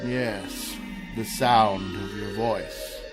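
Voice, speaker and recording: Actor as a fretful rich man, animated pitch. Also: drawn-out words, over loud music. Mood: entranced, sleepy. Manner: fretful, melodramatic delivery. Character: anxious, gullible wealthy male diamond collector in therapy. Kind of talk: radio mystery drama acting.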